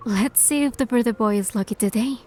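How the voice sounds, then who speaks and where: Actress as young romance game heroine, soft mellow tone, measured first-person narration, gentle, curious, light background music. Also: female voice, fairly high pitch, close to an everyday voice.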